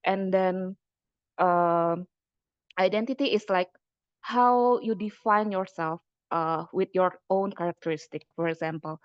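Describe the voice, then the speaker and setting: A woman from Indonesia giving a webinar lecture as a lecturer, speaking conversationally with frequent fillers and pauses. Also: on the low side, smooth, dry recording, lo-fi sound. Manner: hesitant, many fillers, conversational. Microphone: computer mic on a video call.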